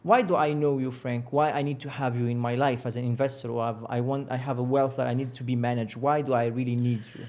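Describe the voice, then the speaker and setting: Moroccan-accented man, mid-pitched interviewer. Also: steady tone, quick pace, hardly any pauses, darkish tone. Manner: non-native phrasing, rephrases questions. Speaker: podcast host, interviewer. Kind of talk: casual business interview.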